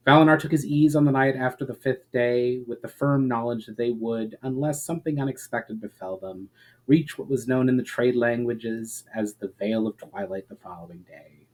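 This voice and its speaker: A man reading a fantasy story aloud as a narrator, in a measured, expressive storyteller's manner. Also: medium pitch, low-quality recording. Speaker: author reading fiction aloud.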